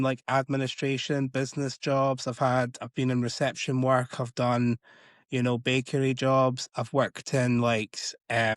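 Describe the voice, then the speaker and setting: A man's voice: medium pitch, clean tone, no echo, clean studio sound. A man co-hosting a podcast in a chatty, rambling way, filling his sentences with "like". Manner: chatty, rambling with many likes. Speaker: podcast co-host. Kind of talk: casual chat.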